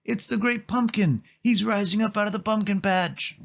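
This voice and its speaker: Storybook role: sincere, excitable young boy, done by a man, pitched up, slightly dull recording. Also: normal speed, gravelly. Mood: excited.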